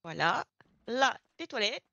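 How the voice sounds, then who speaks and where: A woman's voice: a little high, big pitch swings, a little slow, vocal fry, sharp tone, dry recording, highs cut off. A woman informally giving instructions and showing someone around during an improvised online roleplay. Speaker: player acting a garage employee training a newcomer.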